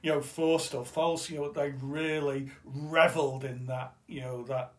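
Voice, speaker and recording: A man co-hosting a football podcast, talking casually and filling every pause with "you know". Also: a little high, flat delivery. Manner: peppers speech with "you know". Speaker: podcast co-host.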